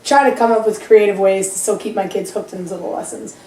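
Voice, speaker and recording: A young woman in her early twenties talks about teaching math as a podcast guest, rambling and poking fun at herself. Her voice is mellow and soft-edged. She sits a little away from the mic in an echoey room. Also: medium pitch, brisk, recorded from a distance, slight background noise. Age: 20s. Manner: laughs easily, self-deprecating, rambling. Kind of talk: casual chat.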